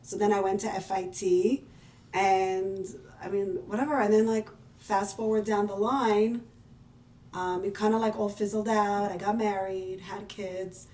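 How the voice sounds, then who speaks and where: A woman's voice: medium pitch, steady tone, unhurried, dark tone. A woman from Israel, a podcast guest, talking in a chatty, self-deprecating way and laughing easily. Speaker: podcast guest, business owner. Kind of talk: casual conversational interview. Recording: roomy and distant from the mic.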